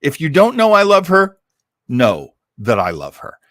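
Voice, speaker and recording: A man hosts in a casual storytelling style, taking his time over each phrase. His pitch swings widely as he stretches and stresses key words for drama. The sound is dry and close. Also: huge pitch swings, a bit bright, dry recording. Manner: dramatic storyteller, emphatic, with drawn-out words and repetition for effect. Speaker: host of an old-time radio listening show. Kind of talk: casual live storytelling introduction to a radio classic.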